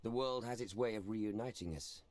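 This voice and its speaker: An actor playing a weary, principled knight in a video game, grave and restrained, with a husky voice. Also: male voice, on the low side, moderate pace, darkish tone, lightly acted. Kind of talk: video game cutscene acting.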